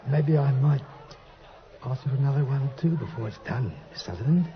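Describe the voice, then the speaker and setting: An actor in an old British radio drama playing a hesitant gentleman suitor, wooing coyly in a soft, weak-throated voice. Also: medium pitch, natural voice. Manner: halting, coy, wooing. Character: soft-spoken, hesitant, sly gentleman suitor courting at a dance. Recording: dull old recording, dance music behind.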